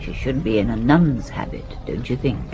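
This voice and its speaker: An actress playing an inspector's gentle, curious middle-aged wife in a radio drama, with a musing, questioning manner. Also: female voice, extremely low pitch, expressive, moderate pace, rough recording. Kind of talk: British radio drama acting. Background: music.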